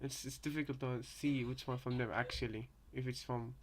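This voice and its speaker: A man's voice: mid-range voice, fairly even intonation, moderate pace, a little hiss or hum. A man giving casual reaction commentary, hesitant and repeating himself as he thinks aloud. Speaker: reactor, fan theorist.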